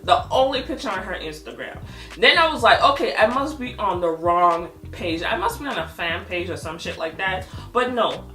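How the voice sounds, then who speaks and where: A woman hosting a gossip vlog, giving casual, opinionated commentary in a blunt, animated storytelling manner with frequent swearing. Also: medium pitch. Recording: echoey room with music underneath.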